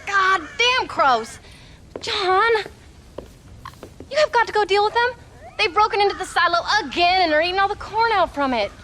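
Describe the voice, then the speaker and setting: An actress playing a sharp-tongued, proud frontier wife and mother with an American frontier drawl, in a video game. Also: very high-pitched, animated, moderate pace, pauses often, a little hiss or hum, exaggerated voice. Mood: exasperated. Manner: sassy, teasing. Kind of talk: video game dramatic dialogue.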